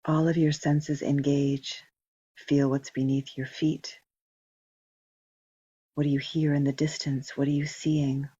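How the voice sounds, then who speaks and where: Female meditation guide, soft husky breathiness, gentle spaced-out phrasing, dry but dull lo-fi sound. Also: deep voice, level tone, no echo. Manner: slow, gentle instruction with long pauses. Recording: dull, muffled lo-fi recording.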